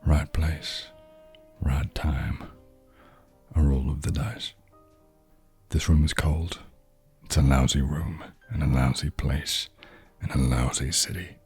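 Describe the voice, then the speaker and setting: A man plays a cynical, hard-boiled noir detective who murmurs slowly in a very deep, husky voice. His brooding half-whisper drifts along unhurried. Soft music plays underneath. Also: steady tone, exaggerated voice. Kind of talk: ASMR noir audio drama narration.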